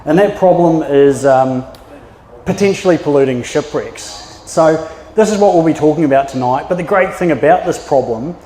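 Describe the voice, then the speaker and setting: A man's voice: on the high side, moderate pace, mellow. A man in his thirties with a New Zealand accent, giving a chatty, anecdotal public talk full of fillers and restarts. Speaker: maritime archaeologist giving a talk. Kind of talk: public presentation. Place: yacht club function room. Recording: echoey hall with long reverb.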